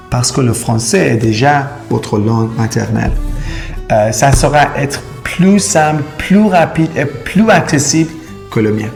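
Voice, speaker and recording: Gravelly-voiced man. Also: medium pitch, a little mellow. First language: Persian and Turkish. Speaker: immigration consultant. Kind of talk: explainer video to camera.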